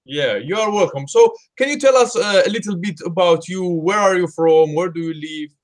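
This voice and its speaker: Host, man, higher-pitched, short plain questions, halting. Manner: simple questions with fillers. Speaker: interviewer. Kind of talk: interview questions.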